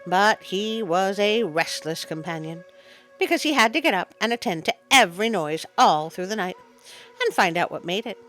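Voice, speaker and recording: A woman reading a story aloud in an expressive, dramatic storytelling style. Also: medium pitch, normal speed, soft background music, rough recording. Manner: dramatic read-aloud, with interjected asides. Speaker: storyteller, show host. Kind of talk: expressive scripted story narration.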